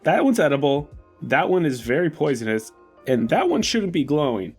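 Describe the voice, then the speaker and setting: A man's voice: a little high, sing-song, moderate pace. A man playing a tabletop game in casual improvised roleplay, asking questions and thinking aloud. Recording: music behind the voice. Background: music.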